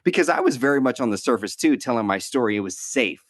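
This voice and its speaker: A man's voice: medium pitch, expressive, fast, no echo, studio quality. A man hosting a conversational interview, speaking in an earnest, reflective way and repeating phrases for emphasis. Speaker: podcast host.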